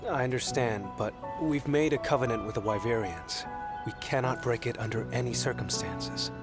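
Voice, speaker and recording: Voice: medium pitch, normal speed, close to an everyday voice. An actor voicing a polite, earnest young ranger in a video game cutscene, speaking courteously and a little formally. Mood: firm, sober. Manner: courteous, sincere, slightly formal. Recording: loud music behind the voice.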